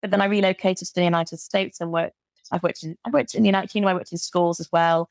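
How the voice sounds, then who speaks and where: A British woman with a lowish, gravelly voice talks with lively enthusiasm and often doubles her words for emphasis. The sound is dry and close. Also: quick pace, no echo. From Britain. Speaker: guest, burnout recovery coach. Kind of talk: casual interview chat.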